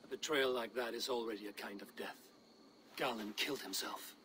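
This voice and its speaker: An actor playing a stoic, grave Viking warrior in a video game, speaking in a measured and solemn way. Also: male voice, lowish voice, steady tone, sharp tone, a little hiss or hum. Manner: measured, solemn, plain-spoken. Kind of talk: dramatic video game cutscene acting.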